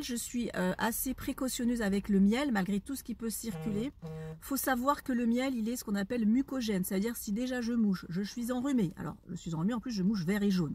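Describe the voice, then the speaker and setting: A woman's voice: a little high, a little mellow, breathy edge. A woman, an aromatherapy educator answering a viewer's question in a didactic way, often checking that listeners follow.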